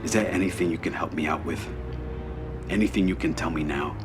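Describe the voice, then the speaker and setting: A mafia don in a game cutscene. The voice is very low and American, grave and tightly controlled, every order measured, with soft music behind it. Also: male voice. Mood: stern, controlled. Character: cold, controlled mafia don, younger brother. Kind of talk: video game cutscene acting.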